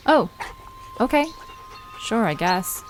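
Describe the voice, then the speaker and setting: A woman's voice: mid-range voice, animated, takes it slowly, faint music behind, poor audio. A woman playing a curious, eager, chatty young visitor in a conversational audio drama. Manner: inquisitive, reactive. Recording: background noise with outdoor ambience.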